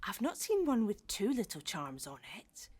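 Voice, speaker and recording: A woman playing a chatty, motherly middle-aged housekeeper in a gently comic audio drama, with a bustling, colloquial, exclamatory manner. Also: medium pitch, wildly swooping pitch, moderate pace. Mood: chatty, amused.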